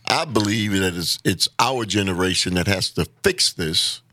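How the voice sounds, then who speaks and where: A man talks earnestly as a guest in a casual conversation, halting and repeating words as he builds his point. His voice sits somewhat low, and the sound is close and dry. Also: animated, sharp tone, dry recording.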